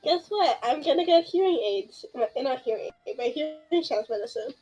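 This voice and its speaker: High-voiced, giggly woman gamer. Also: expressive, moderate pace, darkish tone, low-quality recording. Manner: giggly, slangy, reactive. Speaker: gamer playing and reacting with a friend. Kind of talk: casual gaming chat.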